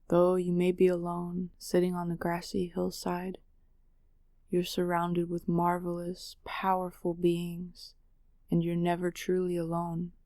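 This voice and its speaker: A woman narrating a guided meditation in a soothing, measured way with long pauses. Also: lowish voice, mellow, dry recording, clean studio sound.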